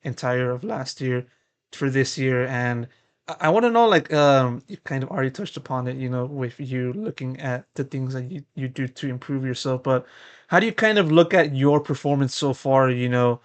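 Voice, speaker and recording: A man's voice: mid-range voice, animated, moderate pace, smooth. A young man interviewing a player, asking long, meandering questions full of "you know" and repeated words. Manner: rambling, repeats words, many you-knows. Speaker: esports interviewer.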